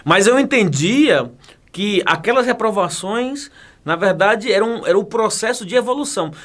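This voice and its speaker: A man's voice: sits high, animated, moderate pace, a bit bright. A man, chatting as an interview guest who jokes and laughs often, repeating words as he talks. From Bahia, Brazil. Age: 40s. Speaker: guest, federal police chief and teacher. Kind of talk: casual interview talk.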